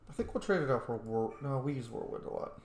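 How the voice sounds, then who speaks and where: A man doing casual let's-play commentary, thinking out loud as he plays a video game. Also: medium pitch, expressive, fast. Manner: thinks out loud, muttering item names. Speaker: video game player narrating a roleplay playthrough. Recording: roomy echo, background noise.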